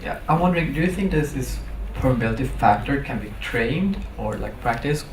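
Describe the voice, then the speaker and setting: A man's voice: mid-range voice, expressive, fairly quick. A man from Sweden speaks up from an audience in an echoey room. He talks casually, telling a story as part of his question, a little far from the microphone with faint noise around him. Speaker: audience member asking a question.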